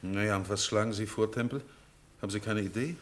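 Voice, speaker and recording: Actor playing a low-voiced, brusque man in a vintage radio play, slightly off-mic. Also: quick pace, natural voice. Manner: brusque, impatient. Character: Mr Franz, brusque impatient male guest. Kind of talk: 1950s radio play acting.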